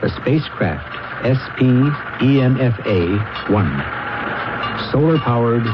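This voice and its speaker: A man hosting and narrating a radio drama in a deliberate, theatrical style with dramatic pauses. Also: medium pitch, animated, background noise. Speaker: host and narrator. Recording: muffled old radio broadcast, loud music.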